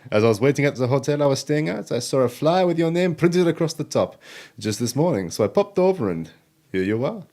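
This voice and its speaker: A man's voice: fairly high pitch, expressive, brisk, darkish tone. A man playing a suave, jaunty elf rogue in an audio drama, speaking in a theatrical, flowery way. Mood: breezy.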